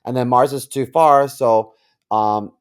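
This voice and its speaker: Halting, casual podcast talker, sex unclear. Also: mid-range voice, steady tone, smooth. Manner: hesitant, halting while thinking aloud.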